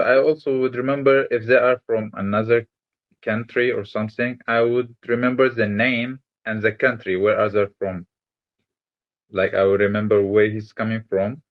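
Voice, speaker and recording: Low-voiced man from Egypt, native Arabic speaker. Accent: Malaysian-influenced English. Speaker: interviewee. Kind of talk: casual interview answers. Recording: dull lo-fi sound.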